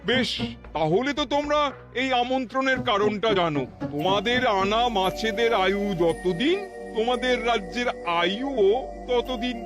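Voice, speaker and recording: An actor playing a domineering, scheming Nawab in a cartoon, speaking in an imperious, gloating, menacing way. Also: male voice, very high voice, measured pace. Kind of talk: cartoon voice acting. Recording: music behind the voice.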